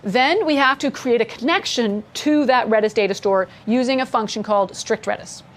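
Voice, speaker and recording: A woman's voice: on the high side, normal speed. A woman casually walking through code as an instructor, chatty and upbeat, laughing along with her co-host. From mother from Scotland. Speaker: coding instructor. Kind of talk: casual screen-share coding lesson.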